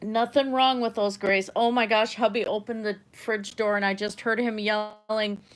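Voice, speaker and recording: A middle-aged woman whose first language was German, hosting a livestream and chatting casually with viewers. She rambles, laughs often and lets her sentences trail off softly. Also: medium pitch, level tone, normal speed, low-quality recording. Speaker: livestream art host.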